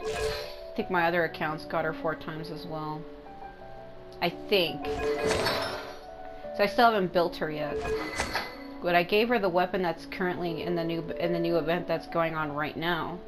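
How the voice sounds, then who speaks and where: A woman with a congested, mucusy throat doing casual, sweary game commentary, thinking out loud. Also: on the low side, steady tone, moderate pace, long pauses, poor audio. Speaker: gaming video host. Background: music.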